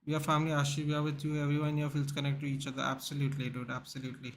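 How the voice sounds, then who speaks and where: A man's voice: fairly high pitch, monotone, racing, a little hiss or hum. Chatty young Indian man on a livestream. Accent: Indian English. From Goa, India. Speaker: livestream host. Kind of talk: casual livestream chat reading out viewer comments.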